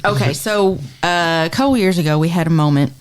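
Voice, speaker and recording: A mother on a podcast recounting a family moment in relaxed conversation, thoughtful and halting, with many fillers. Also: on the low side, slight background noise. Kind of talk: casual chat, storytelling.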